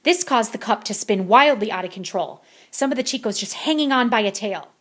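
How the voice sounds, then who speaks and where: A woman's voice: mid-range voice, animated, brisk, a bit bright, breathy edge. A woman reading a children's picture book aloud as a storyteller.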